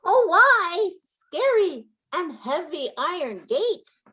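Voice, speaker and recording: A woman's voice: very high voice, big pitch swings, slow, pushed and bright, dull audio, exaggerated voice. A woman playing a polite, eager pheasant in a dramatic folktale reading, with exclamatory delivery. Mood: worried. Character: polite, eager pheasant companion with a sharp beak.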